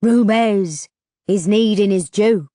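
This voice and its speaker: A woman voicing a menacing scaly male ferryman who croaks and speaks slowly and threateningly in a throaty rumble. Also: warm tone, dry recording, studio quality, natural voice. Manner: slow, drawn-out and threatening. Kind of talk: audiobook character voice.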